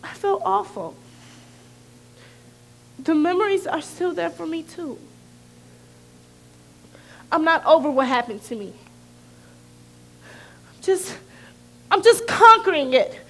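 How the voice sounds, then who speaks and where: Woman playing an earnest, very high-voiced teenage girl. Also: animated, slow, long pauses, exaggerated voice. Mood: hurt, reassuring. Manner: imploring, emphatic, dramatic. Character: earnest, protective teenage best friend, a girl who survived similar hurt. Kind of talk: emotional stage play acting. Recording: faint music behind the voice.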